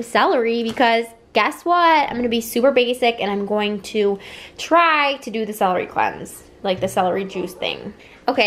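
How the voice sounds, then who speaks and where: Chatty young woman vlogging in her kitchen. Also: fairly high pitch. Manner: chatty, frequent "like" fillers, addresses viewers directly. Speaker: lifestyle vlogger. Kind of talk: casual haul vlog. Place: home kitchen, indoors.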